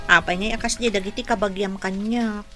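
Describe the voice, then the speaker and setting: A sweet young bride played by a woman speaks at a middling pitch with an Ilocano Filipino accent. She sounds unsure of her place, with clear music behind the radio drama dialogue. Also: fairly even intonation, brisk, bright, forceful tone, lightly acted. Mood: hurt. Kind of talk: Philippine radio drama acting.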